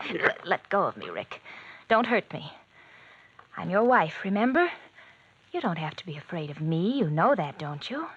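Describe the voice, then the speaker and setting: An actress playing a bored, thrill-seeking wife who taunts coolly and teasingly in an old-time radio mystery. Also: female voice, on the low side, big pitch swings, measured pace, long pauses, dull audio, slight background noise. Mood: scornful, goading.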